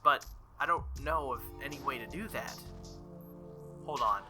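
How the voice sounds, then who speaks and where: A man's voice: mid-range voice, a little slow, sharp tone, faint music behind. A man reading the inner thoughts of an earnest teenage boy hero from a visual novel, hesitant and questioning. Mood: worried.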